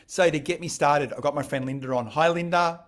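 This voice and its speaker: An Australian man hosting a podcast, asking relaxed, friendly questions in a casual chat. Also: fairly high pitch, fairly quick. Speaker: podcast host.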